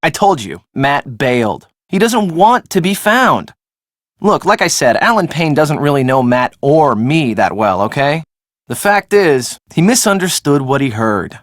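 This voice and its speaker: A woman's voice: low voice, very animated, unhurried, dry recording, clean studio sound. An actress playing a breezy, sarcastic young woman in a video game, talking in a casual, slangy way. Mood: defensive. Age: young adult. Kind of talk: video game dialogue acting.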